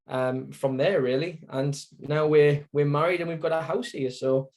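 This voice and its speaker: A man's voice: a little high, fairly even intonation, normal speed, darkish tone. A young man with a Sunderland accent, a guest telling his story in a casual interview, rambling with lots of fillers and self-corrections. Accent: Sunderland (Mackem). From Sunderland, England.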